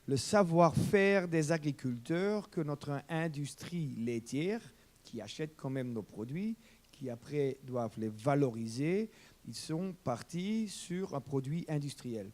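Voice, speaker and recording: A man's voice: on the high side, lively intonation, slow pace, a little mellow. A German-speaking man on a debate panel, speaking French with passion, repeating himself and rambling as he argues. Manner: impassioned, repeats words, rambling. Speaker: farmer panelist.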